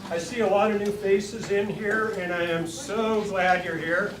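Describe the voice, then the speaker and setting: A woman with a deep voice, chatting informally in a folksy way as she moderates a public forum. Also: steady tone, unhurried. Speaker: forum moderator and party chair. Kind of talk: informal public opening remarks. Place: meeting room at a party headquarters. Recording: echoey room, distant mic, clear noise.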